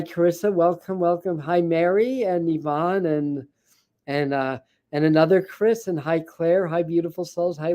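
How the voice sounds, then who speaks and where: A man with a scratchy, hoarse voice hosting a spiritual livestream, chatting casually with many fillers and restarts. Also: on the high side, mellow. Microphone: ring light setup, speaking to camera.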